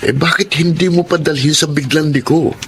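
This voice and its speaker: Actor as joking young buddy, noisy background. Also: male voice, a little high, fairly even intonation, moderate pace, lightly acted. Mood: teasing. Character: teasing, wisecracking young male buddy. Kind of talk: Filipino radio drama acting. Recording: clear noise behind the voice.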